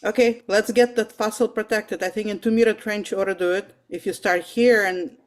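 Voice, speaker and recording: A woman's voice: mid-range voice, steady tone, moderate pace, natural voice. A woman reading the part of a wry, guarded male paleontologist in an amateur table read of a film script, dryly and with some stumbles.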